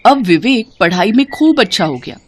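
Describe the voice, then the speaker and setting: A woman reads a scripted children's moral story. Her mid-pitched voice moves in a very lively range, and there is some background noise on the recording. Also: normal speed. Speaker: narrator.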